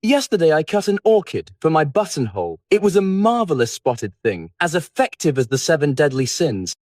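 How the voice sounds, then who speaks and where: A man's voice: on the high side, sing-song, normal speed, a little mellow, no echo, clean studio sound, pitched above the performer's own voice. A man voicing a witty, languid English aristocrat in an audiobook, teasing and aphoristic in a theatrical way. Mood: amused, teasing. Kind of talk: audiobook character dialogue.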